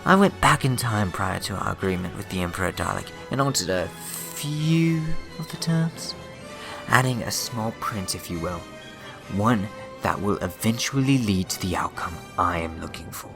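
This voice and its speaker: An actor playing a sly time-travelling schemer in a sci-fi fan audio drama, teasing and mock-polite. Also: male voice, fairly low pitch, animated, moderate pace, gravelly. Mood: sly, confiding. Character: sly, smug, meddling male alien time-traveller schemer. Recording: loud music behind the voice. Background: dramatic music.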